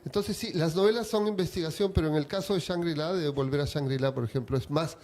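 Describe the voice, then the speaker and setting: A man from Peru, a writer, answering interview questions in a reflective, unhurried way. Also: on the high side, a little mellow. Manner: reflective, unhurried, with small restarts.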